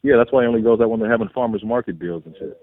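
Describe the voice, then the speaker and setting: A man's voice: lowish voice, rapid-fire, no room sound, narrow, tinny audio. A man in his early thirties, a wisecracking comedian guest who bants with the host. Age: 30s. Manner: wisecracking, mock-dramatic. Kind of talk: casual banter.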